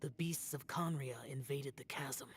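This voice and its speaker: A man playing a grave, youthful immortal guardian in a video game, speaking in a measured, deliberate way. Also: medium pitch, steady tone, a little hiss or hum, close to an everyday voice. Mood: grave, resolute. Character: solemn, brooding male immortal guardian warrior, youthful, burdened by duty. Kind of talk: scripted video game dialogue. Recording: game music under the voice.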